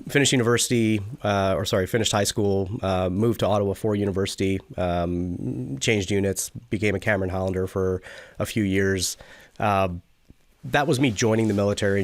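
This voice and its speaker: A man in his forties with a Canadian accent, explaining things in a measured, technical way in an interview. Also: deep voice, dry recording. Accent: Canadian English. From Toronto area, Canada. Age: 40s. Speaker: podcast guest, military veteran and company CEO. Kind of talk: conversational interview answers.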